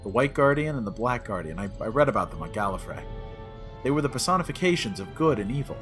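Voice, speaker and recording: A man's voice: medium pitch, very animated, fast talker, lightly acted. An actor playing a wry, skeptical male Time Lord adventurer in a sci-fi comedy audio drama, with a dry, sardonic delivery. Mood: pondering. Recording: loud music under the dialogue.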